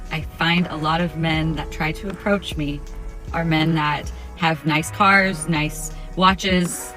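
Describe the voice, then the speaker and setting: A woman in her thirties giving her frank, firm opinion on dating. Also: on the low side. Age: 30s. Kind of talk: opinionated vlog monologue.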